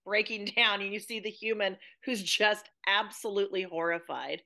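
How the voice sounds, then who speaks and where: Woman, podcast guest, bright forward voice, mid pitch, emphatic conversational delivery. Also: steady tone, normal speed. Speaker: podcast guest, playwright and drama educator. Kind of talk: conversational drama discussion.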